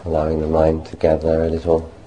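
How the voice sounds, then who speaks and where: A man leading a guided meditation as a monk, speaking gently and unhurriedly in short phrases with long pauses. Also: a bass voice, completely flat delivery, a little hiss or hum.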